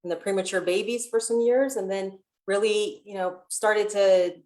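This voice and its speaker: A woman's voice: medium pitch, steady tone, moderate pace, a little mellow. A woman from Ohio with an American accent, a public health doctor speaking informally as a panelist. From Ohio, United States. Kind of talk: informal self-introduction on a panel.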